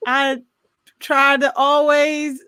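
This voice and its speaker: A high-pitched American woman, recorded close and dry, chats as a podcast co-host. Her talk is casual and slangy, full of easy banter. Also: flat delivery, very slow, pushed and bright, no echo. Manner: laughs often, slangy banter. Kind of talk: casual movie-podcast chat.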